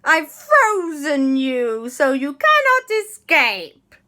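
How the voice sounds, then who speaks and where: A woman voicing a gloating Martian creature in a children's story, with slow, drawn-out, menacing words. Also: sits high, wildly swooping pitch, bright, forceful tone, voice pushed up, heavily acted. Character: gloating Martian creature, male, capturing prisoners.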